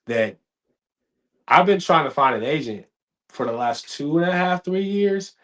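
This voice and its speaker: A man in his thirties hosting a casual podcast chat, telling stories with lively acted-out quotes. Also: fairly high pitch, measured pace. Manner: animated storytelling, acts out quoted dialogue. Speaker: podcast host.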